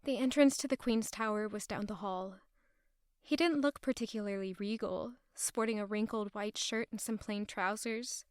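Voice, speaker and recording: A woman's voice: medium pitch, normal speed, smooth, dry recording, clean studio sound, close to an everyday voice. A woman playing an anxious, self-doubting young noblewoman in an audio drama, with a dramatic inward delivery. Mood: wary. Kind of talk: audio drama narration and acting.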